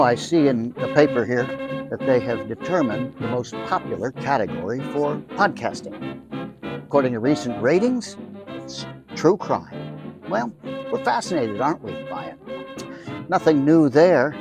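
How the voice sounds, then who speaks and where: American man, show host, medium pitch, expressive swings, conversational narration, soft music bed. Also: measured pace. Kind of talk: podcast introduction, semi-scripted narration.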